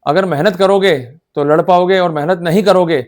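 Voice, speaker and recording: A man's voice: sits high, steady tone, normal speed. A man with an Indian accent giving an explanatory law lecture in a didactic, emphatic manner, often checking that listeners follow. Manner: didactic, emphatic, checks understanding with repeated short questions. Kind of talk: explanatory lecture in Hindi mixed with English legal terms.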